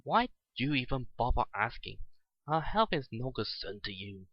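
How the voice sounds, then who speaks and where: A man voicing a gruff, scornful and smug villainous mad doctor in a dramatic story reading, with curt, mocking delivery. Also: mid-range voice, big pitch swings, normal speed, no room sound, dull audio. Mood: irritated.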